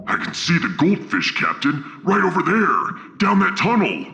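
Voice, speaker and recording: A man playing a proud, boastful alien warrior sidekick in a sci-fi audio drama, with a gravelly voice and bombastic, earnest delivery. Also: a little high, huge pitch swings, normal speed, bright, forceful tone, voice pushed up, exaggerated voice. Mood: eager. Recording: echoey cave-like reverb.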